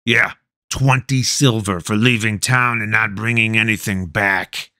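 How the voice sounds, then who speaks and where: A man voicing a greedy, petty patrol soldier who talks gruffly and impatiently. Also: fairly low pitch, fairly even intonation, measured pace, bright, forceful tone, no echo, studio quality.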